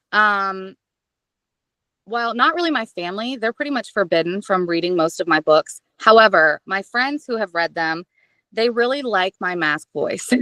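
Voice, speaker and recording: A woman audiobook narrator chatting as an interview guest, expressive and self-deprecating, with a casual, animated way of talking. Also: medium pitch, no room sound.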